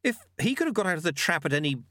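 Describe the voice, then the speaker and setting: A man's voice: fairly high pitch, sing-song, very fast, bright, forceful tone, no echo, studio quality, pitched above the performer's own voice. A man voicing a young apprentice monk in a storybook, eager, put-upon and protesting. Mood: aggrieved.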